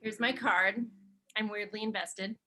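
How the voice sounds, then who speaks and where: A woman's voice: medium pitch, steady tone, unhurried, sharp tone. A woman playing a blunt but encouraging hairstylist in a screenplay table read, casual and emphatic.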